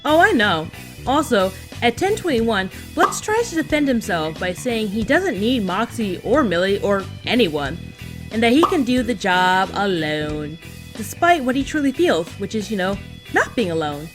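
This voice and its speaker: Gal doing chatty episode commentary, casual n giggly, music pretty loud behind her. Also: medium pitch, sing-song, moderate pace. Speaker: co-host of a fan recap.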